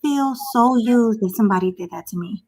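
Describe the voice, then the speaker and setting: A woman hosting a relaxed podcast conversation, her voice a little higher than most. She mostly answers in quick, short replies and puts questions to her guest. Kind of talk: casual podcast chat.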